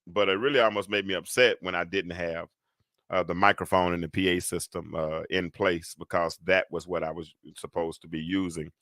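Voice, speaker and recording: A man hosts a casual live show, his voice very low and heavy. He rambles and restarts, leaning on fillers as he thinks aloud. The sound is close and dry, with no room around it. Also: fairly even intonation, moderate pace, fairly bright tone, no room sound. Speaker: host. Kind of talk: casual live-stream talk.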